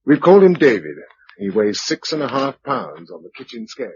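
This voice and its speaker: An actor playing a practical, dryly humorous husband who keeps a journal after the apocalypse, in British radio drama style with measured, reflective delivery. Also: male voice, medium pitch, very animated, a little mellow, breathy edge. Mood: measured, sober. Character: practical, wry husband keeping a survival journal in a post-apocalyptic world. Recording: dull, lo-fi radio drama recording.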